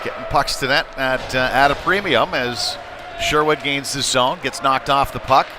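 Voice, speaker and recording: A man's voice: mid-range voice, fairly even intonation, moderate pace, a crowd in the background. A man doing live play-by-play commentary of a youth hockey game in a conversational, somewhat hesitant way. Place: indoor ice hockey rink. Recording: noisy ice rink ambience. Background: clear rink noise and ambience.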